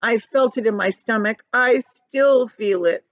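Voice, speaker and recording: A woman's voice: on the high side, unhurried, no echo, dull audio, natural voice. A woman voicing a gloomy, complaining earthworm from a children's storybook. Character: gloomy, grumbling, fed-up earthworm.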